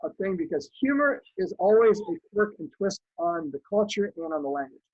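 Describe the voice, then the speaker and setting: A middle-aged man from Canada, German his first language, talks through his memories in a reflective, anecdotal way, often restarting his sentences. His voice sits high and a little husky. The sound is slightly muffled and very dry. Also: lively intonation, a little fast, a little mellow, dry recording. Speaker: guest. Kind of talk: conversational interview storytelling.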